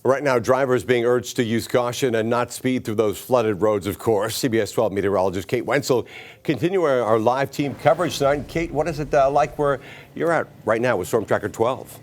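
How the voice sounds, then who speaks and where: A man's voice: mid-range voice, moderate pace, a little hiss or hum. A man with an American accent reading scripted news as a television anchor.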